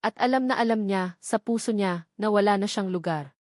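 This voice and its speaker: A woman narrator with a Filipino accent reads a dramatic love story. Her pitch sits in the middle range for a woman. The recording is very dry, with no room sound around the voice. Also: normal speed, a little mellow, dry recording.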